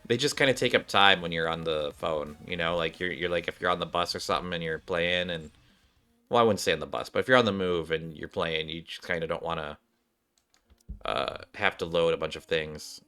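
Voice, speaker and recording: A man's voice: low-pitched, brisk, pushed and bright, clear, resonant tone. A man giving casual, rambling gameplay commentary as a streamer, half muttering to himself and his viewers. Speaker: game streamer. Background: game music.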